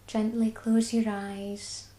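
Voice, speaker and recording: Scottish lady leading a body scan, mellow voice, really unhurried. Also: mid-range voice, level tone, reverberant. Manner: slow, soft and soothing. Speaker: mindfulness guide. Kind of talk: guided body scan meditation.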